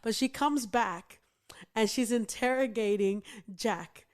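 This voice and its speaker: Chatty podcast lady, kinda high voice, laughs a lot, casual swearing. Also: slow pace. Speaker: podcast co-host. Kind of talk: casual chat.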